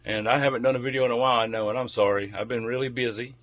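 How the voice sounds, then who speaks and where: A man's voice: mid-range voice, fairly even intonation, rapid-fire, a bit bright, lo-fi sound. A man hosting a children's bedtime story show, speaking directly to young listeners as a storyteller. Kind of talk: friendly introduction, then read-aloud children's storytelling.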